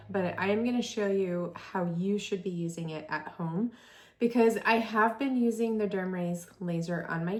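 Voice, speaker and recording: A woman in her forties, an esthetician, chatting through a skincare device review in an enthusiastic, friendly way. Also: medium pitch. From California. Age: 40s. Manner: chatty, enthusiastic, reads specs aloud. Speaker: esthetician and beauty vlogger. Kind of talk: product review vlog.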